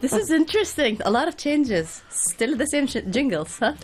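A woman's voice: high voice, sing-song, moderate pace, soft background music. A woman chatting on a morning radio show, curious and giggly in casual studio talk.

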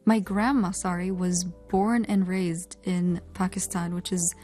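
A woman's voice: lowish voice, fairly even intonation, unhurried, warm tone, soft background music. A woman from Oman co-hosting a podcast, speaking in an articulate, fluent, composed way. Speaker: podcast co-host. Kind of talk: conversational podcast discussion.

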